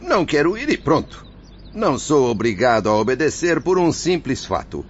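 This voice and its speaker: An actor playing a bitter, defiant hospital patient in a radio drama, curt and argumentative. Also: male voice, mid-range voice, very animated, moderate pace, lightly acted. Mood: angry, defiant. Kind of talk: Brazilian radio drama acting. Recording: dull, faintly noisy radio drama audio.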